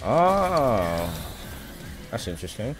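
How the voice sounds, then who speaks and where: A man's voice: fairly low pitch, sing-song, slow pace, music in the background. A man giving casual, slangy gaming commentary as a live streamer, muttering reactions as he plays.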